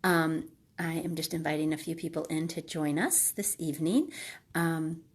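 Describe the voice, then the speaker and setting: Congested woman with a low voice. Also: moderate pace, slightly rough. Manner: hesitant, many ums and restarts. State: congested, nasal from allergies. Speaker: live video host. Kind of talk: casual motivational talk.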